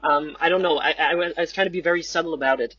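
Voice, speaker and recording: A man's voice: fairly high pitch, racing, pushed and bright. A man chatting casually as a guest on a podcast. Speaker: podcast guest, amateur audio play performer. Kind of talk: casual chat. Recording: dull lo-fi, distant mic.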